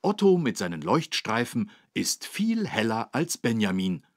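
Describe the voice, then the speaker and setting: Slow, husky, kindly policeman. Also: lowish voice, big pitch swings, no room sound, clean studio sound, full character voice. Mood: patient, instructive. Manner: patient, instructive, emphatic. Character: kindly, careful policeman teaching children road safety. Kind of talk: scripted educational game narration for children.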